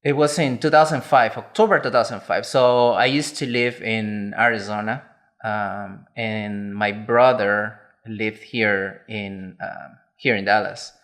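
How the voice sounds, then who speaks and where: A man's voice: fairly low pitch, steady tone, unhurried, a bit bright. A man in his forties from Peru, a podcast guest, speaking plainly and with light humor. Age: 40s. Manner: plain-spoken, good-humored, simple phrasing. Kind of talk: casual podcast interview.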